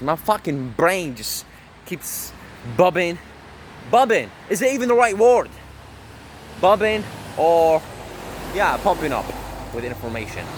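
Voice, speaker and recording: A swaggering man, cursing and bragging as he rambles to his own camera. His voice sits at an ordinary middle pitch. He speaks out on a city street, with faint traffic humming behind him. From Syria. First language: Arabic. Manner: brash, profane, boastful. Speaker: vlogger. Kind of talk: rambling self-talk monologue.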